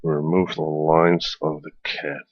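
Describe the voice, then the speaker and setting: A man from the Netherlands with a Dutch accent, hesitantly narrating a software tutorial as a voiceover with many fillers. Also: a bass voice, level tone, slow pace, no echo, muffled recording. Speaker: tutorial maker. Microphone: voiceover at a computer.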